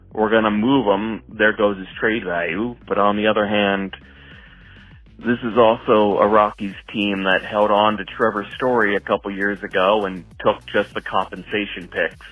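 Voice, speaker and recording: A man calling in as a sports fan, leaving a casual voicemail in halting, short phrases on a lo-fi recording. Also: fairly low pitch, no room sound.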